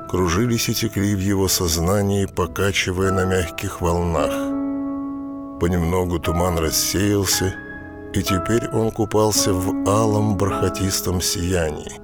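A man narrating an audio drama, reading scripted literary prose as the author's voice. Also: low-pitched. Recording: loud music under the voice. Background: loud music.

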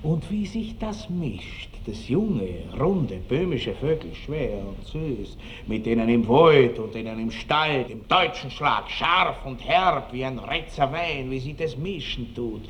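A man's voice: medium pitch, lively intonation, takes it slowly, fairly bright tone. A lecherous Austrian country baron in a vintage radio play. He is pompous and earthy, boasting at length and relishing every word. The old recording carries faint noise. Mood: lustful relish. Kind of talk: classic radio drama acting. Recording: noisy old 1960s radio drama recording.

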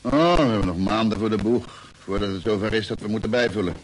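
A man playing a spaceship engineer in a radio drama, blunt and pragmatic. His voice is on the low side and matter-of-fact, on an old recording with faint noise. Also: quick pace, lightly acted, poor audio. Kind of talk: Dutch radio play acting.

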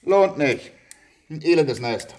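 A man's voice: a little high, expressive, slow, darkish tone. An actor playing a chummy, nosy handyman in a German science-fiction radio drama, talking in a blunt, colloquial way. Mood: matter-of-fact. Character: chummy, nosy institute handyman, matter-of-fact working man.

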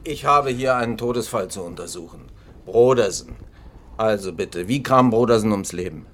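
An actor playing a skeptical, needling investigator in a radio drama. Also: male voice, lowish voice, normal speed, fairly bright tone. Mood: sardonic, probing. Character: probing, sardonic male death investigator.

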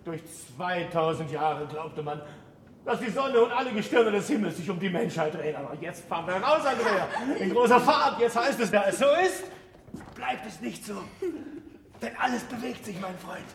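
A man's voice: high voice, expressive, normal speed. A man playing an exuberant, impatient scholar and teacher, declaiming emphatically in a classic radio play. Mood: enthusiastic, visionary. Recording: roomy sound with faint noise.

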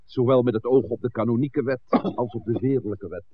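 An actor playing a formal, dryly moralising village priest with wordy delivery in a 1960s radio drama. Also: male voice, mid-range voice, a little fast, lightly acted. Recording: muffled, phone-like old radio recording.

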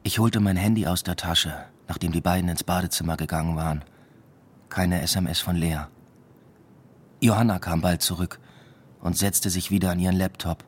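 Low-voiced, reflective male academic. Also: fairly even intonation, normal speed, slightly rough. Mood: reflective, subdued. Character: reflective male academic narrating his everyday life. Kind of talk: first-person radio drama narration and dialogue.

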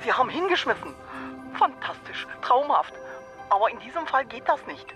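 A woman's voice: fairly high pitch, very animated, normal speed, a bit bright, highs cut off, soft background music. An actress playing a discreet, powerful woman client in a radio drama, commanding and theatrically polite. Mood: urging. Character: discreet, imperious high-ranking woman client summoning the detective.

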